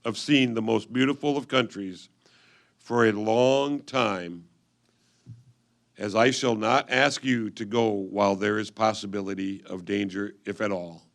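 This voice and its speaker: Male reader for reader's theatre: historical letters, solemn, measured, with noticeable gravel in the voice. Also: fairly low pitch, fairly even intonation.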